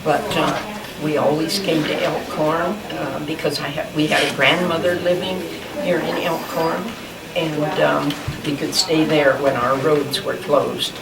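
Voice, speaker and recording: An elderly woman, recalling her life in a slow, hesitant oral history interview with small laughs and restarts. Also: low voice, fairly even intonation, gravelly, over a noisy crowd. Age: 80s. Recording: distant mic in a roomy space with loud background noise.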